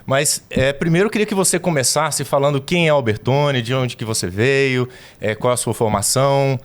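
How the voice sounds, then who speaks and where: A man's voice: medium pitch, normal speed. A man co-hosting a podcast, asking the guest long, conversational questions. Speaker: podcast co-host. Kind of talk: casual interview questions.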